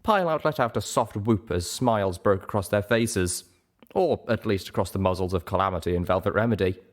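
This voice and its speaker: Low-voiced man narrating a story. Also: fairly quick. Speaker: audiobook reader. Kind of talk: expressive scripted story narration.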